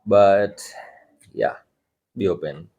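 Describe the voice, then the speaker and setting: Very deep-voiced, husky Kenyan man. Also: slow pace, lo-fi sound. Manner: wry, deadpan jokes. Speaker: podcast guest. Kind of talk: casual podcast banter.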